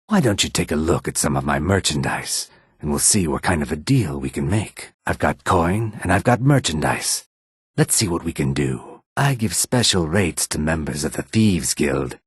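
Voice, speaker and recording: Game casting: gruff streetwise reptilian male, very husky and low, wary, measured menace. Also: no room sound, clean studio sound, exaggerated voice. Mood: businesslike, wheedling. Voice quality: raspy, gravelly. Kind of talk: video game character dialogue lines.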